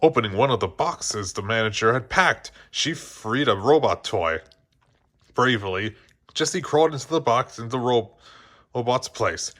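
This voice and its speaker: A man's voice: medium pitch, lively intonation, moderate pace, pushed and bright. A man reading a children's story aloud as scripted narration, now and then stumbling over a word. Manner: occasionally stumbles over words and rereads. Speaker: storybook reader.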